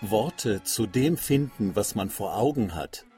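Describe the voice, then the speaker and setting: An actor playing a reflective, formal exiled writer in his early forties in a literary radio play, with measured, articulate delivery. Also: fairly low pitch, animated, dark tone, faint music behind. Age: middle-aged.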